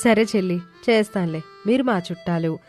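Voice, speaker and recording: Low-pitched village housewife played by a woman. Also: brisk, darkish tone, natural voice. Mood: hospitable. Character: kindly, wary village housewife. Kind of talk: cartoon moral story. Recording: music under the voice.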